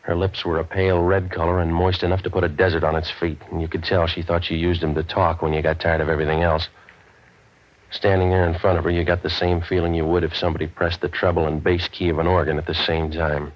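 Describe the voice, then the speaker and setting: An actor playing a cynical, wisecracking private eye in a 1940s radio drama, with deadpan, tough-talking delivery. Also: male voice, deep voice, fast, lightly acted. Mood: wry, deadpan. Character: hardboiled, wisecracking male private eye narrating his case. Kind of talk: 1940s radio detective acting and noir narration. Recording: dull vintage radio recording.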